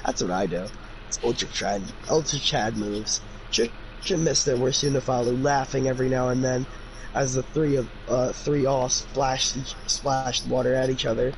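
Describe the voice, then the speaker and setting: Guy reading fanfic out loud, chatty and opinionated, noisy recording. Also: mid-range voice, steady tone, normal speed. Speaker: fanfiction reader and commentator. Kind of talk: casual amateur read-aloud with chatty commentary.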